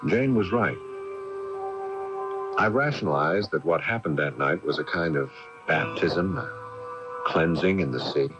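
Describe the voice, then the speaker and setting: Deep voiced husband looking back on his story, dull radio sound, music behind. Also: animated, a little slow, pauses often. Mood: reflective, assured. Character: reflective married man narrating his own past. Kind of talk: radio drama first-person narration.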